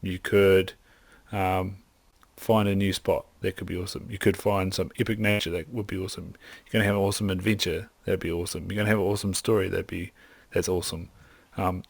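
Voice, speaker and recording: A young man talks eagerly as a podcast guest, piling up restarts and fillers as he goes. His voice sits low. The sound is close and dry, with no room around it. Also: vocal fry, dry recording. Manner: enthusiastic, rambling with lots of "like" and restarts. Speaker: podcast guest, hunter and optometrist. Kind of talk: casual interview chat.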